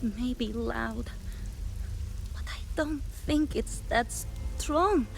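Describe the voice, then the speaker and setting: A woman playing a strained but stubbornly defiant young fighter in a video game, halting and intense. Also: a little high, gravelly, heavily acted. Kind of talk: dramatic game voice acting. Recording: music behind the voice.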